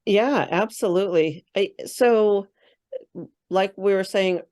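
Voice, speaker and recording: A woman's voice: medium pitch, slow, darkish tone. A woman who is a spiritual coach, chatting as a guest in an interview and thinking aloud with frequent fillers and restarts. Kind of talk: casual interview chat.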